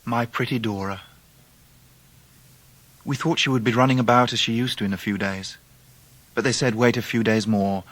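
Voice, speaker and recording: A Victorian English gentleman played by a male actor in a period radio drama, with a slightly low voice. He is tender and earnest, speaking in gentle, measured, literary phrases. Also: dry recording, lightly acted. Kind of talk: period radio drama acting and first-person narration.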